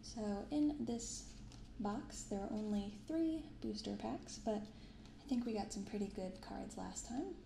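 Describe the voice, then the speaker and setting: Soft spoken girl in her 20s doing casual asmr unboxing, gentle n creaky, roomy sound. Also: medium pitch, expressive, unhurried, few pauses. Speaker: host of a card-opening ASMR channel. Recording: roomy binaural recording with clear background noise.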